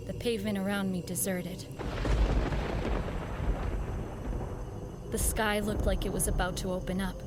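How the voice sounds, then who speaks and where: A woman's voice: medium pitch, lightly acted. An actress playing an anxious, earnest woman who recounts a horrifying ordeal in an intense, theatrical audio drama style. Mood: tense, haunted. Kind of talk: dramatic first-person horror narration with acted dialogue. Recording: music under the voice. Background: dramatic music.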